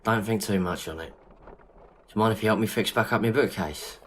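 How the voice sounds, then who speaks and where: A loyal, companionable gentleman of a period audio drama, played by a man, has a fairly low voice. He talks in a matey, colloquial way. The recording carries faint noise. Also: brisk, close to an everyday voice. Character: loyal, grieving gentleman friend, informal and companionable. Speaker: voice actor.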